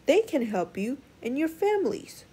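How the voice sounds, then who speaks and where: A woman's voice: high-pitched, big pitch swings, moderate pace, warm tone, voice pushed up. A woman voicing a wise old male clam in a storybook, kindly and avuncular. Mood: kindly, reassuring. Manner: avuncular, addresses the young as kid.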